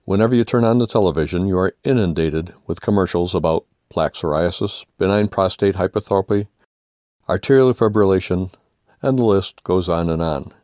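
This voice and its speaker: A man's voice: sits low, fairly quick, darkish tone, no echo. An older man with an American accent reading his own humorous first-person essay aloud. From United States.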